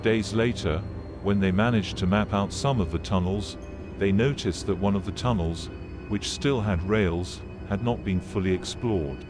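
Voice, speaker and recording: Low-voiced man narrating a grim documentary. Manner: measured, formal, matter-of-fact. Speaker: narrator of an analog horror video. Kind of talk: serious scripted documentary-style narration. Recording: played video with background music. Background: music.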